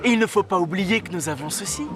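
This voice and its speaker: A man voices a young fairy-tale hero in a children's cartoon. The voice is high and earnest, with music playing behind it. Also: quick pace, close to an everyday voice. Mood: hopeful. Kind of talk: animated cartoon dub acting.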